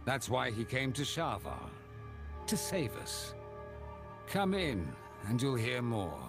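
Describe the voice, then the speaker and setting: An actor playing a calm, commanding peacekeeper of a camp in a fantasy game, speaking in a measured, firm way. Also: male voice, mid-range voice, vocal fry, over music, exaggerated voice. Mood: earnest, welcoming.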